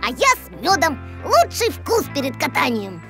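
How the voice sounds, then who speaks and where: A woman's voice: sits high, sing-song, normal speed, over music. A woman voicing a boisterous, self-assured little boy bear cub in a children's cartoon, with an emphatic, exclamatory delivery. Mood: pleased.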